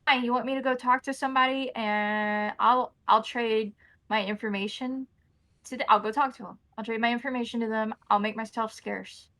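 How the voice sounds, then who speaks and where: A woman's voice: on the high side, fairly even intonation, normal speed, sharp tone, no echo. A woman playing a captured female vampire drifter in a tabletop game, wary and frustrated, rambling as she bargains. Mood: bargaining. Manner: rambling, mouthy, pleading.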